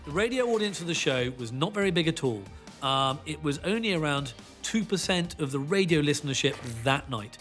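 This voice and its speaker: A man's voice: a little high, animated, brisk, fairly bright tone, soft background music. A man explaining facts as a documentary interviewee.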